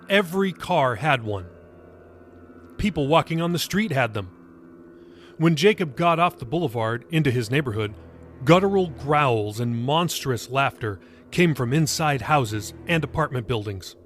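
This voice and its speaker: A man reading scripted material for his own podcast, as author and narrator. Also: on the high side.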